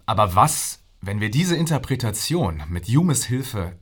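An earnest male scientist with a low, husky voice, presenting his vision in an audio drama interview. He speaks deliberately, builds rhetorical questions and leans on emphatic pauses. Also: sing-song, fairly bright tone, heavily acted. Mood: persuasive, visionary.

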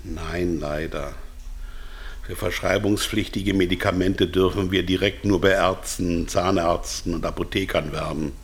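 An actor playing a captive pharmaceutical executive in a radio drama, self-justifying and slyly defiant. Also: male voice, deep voice, fairly even intonation, unhurried. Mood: slick, matter-of-fact. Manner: defensive, stammering under pressure, otherwise slick. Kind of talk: radio drama acting, with whispered inner thoughts.

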